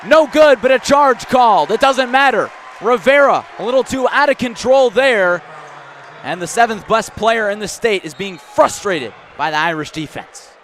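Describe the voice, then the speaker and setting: A man's voice: extremely high pitch, lively intonation, moderate pace, fairly bright tone. A man doing live radio play-by-play of a basketball game in clipped running description that rises on big plays. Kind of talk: live radio sports commentary. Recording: faint gym noise, bouncing balls. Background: basketball game in a gym.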